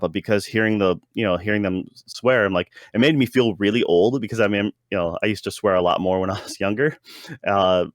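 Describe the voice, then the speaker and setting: A man with a fairly low voice chats as a podcast co-host giving a review. His delivery is hesitant and rambling, with frequent self-interruptions. The sound is dry, with little room around it. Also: no echo. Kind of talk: casual review chat.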